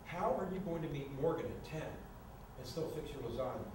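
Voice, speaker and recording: Man acting flippant housemate, about thirty, pleading, staged reading, heavy room echo, clearly noisy recording. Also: a little high, lively intonation, a little fast, lightly acted. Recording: echoey, noisy room from far off.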